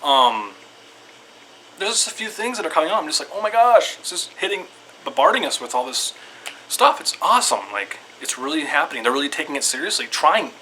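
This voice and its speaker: Guy doing a casual excitable fan ramble, bright forceful voice, bit of noise behind him. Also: a little high, fairly quick, poor audio. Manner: excitable fan talk, repetitive, loosely structured. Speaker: video creator giving opinions. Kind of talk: casual rambling commentary to viewers.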